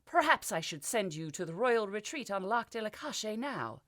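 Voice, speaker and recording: A woman voicing a petulant, childish young king who breaks into shrill, panicky outbursts. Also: sing-song, normal speed, fairly bright tone. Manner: shrill, whiny, hysterical outbursts.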